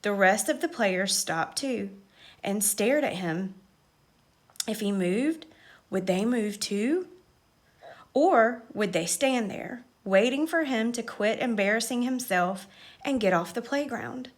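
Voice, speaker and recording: A woman reading a children's story aloud with expressive, measured, dramatic narration. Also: medium pitch.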